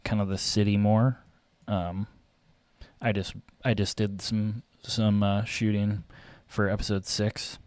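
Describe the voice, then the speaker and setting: A young man, a photographer, rambling casually about his work as he edits photos, hesitant with many fillers, often clearing his throat, his voice going a little raspy. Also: lowish voice, level tone, slow, darkish tone, dry recording. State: voice getting raspy. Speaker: photographer and vlogger. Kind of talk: casual rambling commentary while photo editing. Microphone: desk mic.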